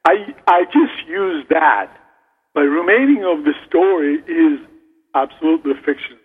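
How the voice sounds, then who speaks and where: A man speaks slowly and haltingly, restarting his sentences as he goes. His voice is husky and a little high. He comes through a narrow, phone-like line with a long reverb behind it. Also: expressive. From Turkey. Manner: slow, halting, restarts phrases. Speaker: novelist guest. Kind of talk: radio interview. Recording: phone line, echoey hall.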